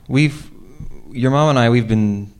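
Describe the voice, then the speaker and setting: A man playing an earnest foodie father in improvised radio theater, breaking news in a halting, awkward way. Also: mid-range voice, darkish tone, smooth, lightly acted. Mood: awkward, hesitant.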